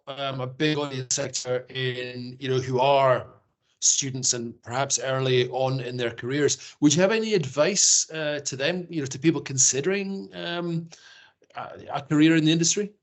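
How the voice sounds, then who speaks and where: A man's voice: fairly high pitch, normal speed. A man hosting a podcast interview, asking questions in a friendly, affirming way with loose fillers. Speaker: podcast host.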